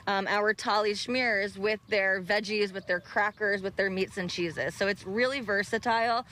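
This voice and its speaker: A woman's voice: medium pitch, steady tone, normal speed, sharp tone. A young woman who runs a bagel business, speaking outdoors into a handheld mic during a live TV interview, upbeat as she explains her menu. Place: outdoor park by the ocean. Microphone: handheld reporter mic.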